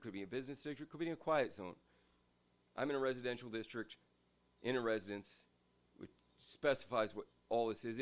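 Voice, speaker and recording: A man with a big, loud voice giving a rambling, emphatic guitar review from his home, swinging between loud bursts and quieter asides. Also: on the low side. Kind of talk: casual ranting gear review.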